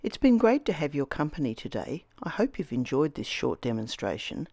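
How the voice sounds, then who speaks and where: A woman hosting a textile art demonstration, with a slightly croaky voice from laryngitis, speaking in a measured, gentle and enthusiastic way. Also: deep voice, sing-song, no echo, clean studio sound. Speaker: textile artist host. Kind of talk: scripted demonstration narration.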